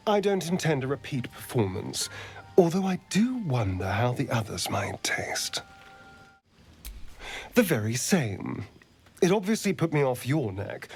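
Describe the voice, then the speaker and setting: An actor playing a flirtatious, refined vampire man in a video game, with a theatrical, teasing drawl and breathy asides. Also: mid-range voice, full character voice. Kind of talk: video game companion dialogue.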